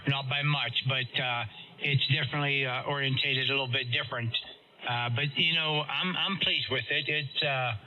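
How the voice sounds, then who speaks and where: A man chatting casually over amateur radio about technical matters in a halting way, as a radio operator. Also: medium pitch. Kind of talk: casual ham radio chat. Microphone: heard over amateur radio. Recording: muffled amateur radio transmission with noise.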